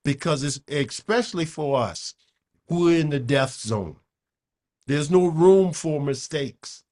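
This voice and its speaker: An older man whose first language is Japanese gives a slow, unhurried dharma talk. His voice sits a little high for a man, and he leans on his points, often repeating himself and tagging "okay?" onto his lines. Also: animated, a little mellow. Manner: emphatic, repeats words, frequent "okay?". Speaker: Buddhist teacher.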